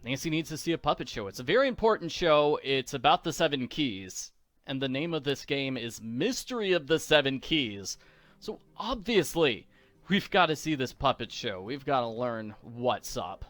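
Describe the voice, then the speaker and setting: A man's voice: medium pitch, sing-song, normal speed, fairly bright tone, no room sound, clean studio sound. A man giving a casual, playful commentary as he walks through a video game. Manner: playful, jokey. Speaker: video game walkthrough host. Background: faint game music.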